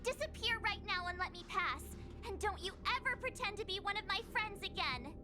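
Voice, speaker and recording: A woman voicing a plucky, expressive teenage girl adventurer in a video game. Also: very high-pitched, a little fast, music in the background. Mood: indignant. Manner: expressive, exclaiming.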